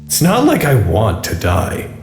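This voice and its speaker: A man acting in an audio drama as a weary, resigned man who speaks in a blunt, reflective deadpan. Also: medium pitch, unhurried. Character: weary, resigned man coolly contemplating his own death. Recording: roomy echo, music behind.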